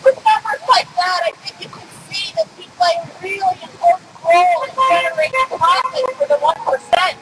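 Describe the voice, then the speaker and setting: A man's voice: very high-pitched, big pitch swings, brisk, hardly any pauses. A man giving a public speech at an outdoor protest. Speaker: rally speaker. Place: outdoors at city rally. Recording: echoing, distant, noisy outdoor street.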